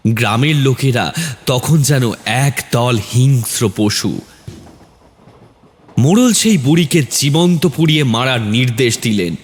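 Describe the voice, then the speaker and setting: Male narrator for horror audio tales, mid-range pitch, heavy lingering reverb on the voice. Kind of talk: scripted horror story narration. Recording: long reverb on the voice.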